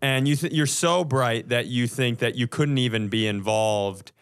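A man's voice: mid-range voice, normal speed, clear, resonant tone. A man co-hosting a comedy podcast, bantering casually in a teasing, mock-prosecutorial way.

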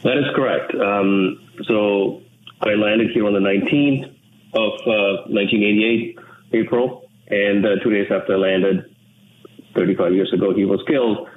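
A man from Sri Lanka, an English speaker, talking in an earnest, measured way as an interview guest. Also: lowish voice, steady tone. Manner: measured, earnest, with frequent restarts. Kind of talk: reflective interview talk. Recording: tinny phone line with faint noise.

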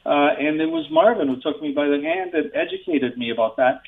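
A man on a tinny phone line with a gravelly, fairly high voice. He speaks earnestly and reflectively, as a radio caller. The line is thin and narrow. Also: wildly swooping pitch, brisk. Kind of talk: phone-in tribute.

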